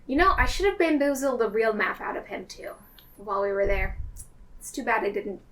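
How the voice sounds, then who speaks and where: A woman's voice: on the high side, lively intonation, brisk, a bit bright. A woman chatting casually and improvising as a player in a tabletop roleplaying game. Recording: distant mic with faint noise.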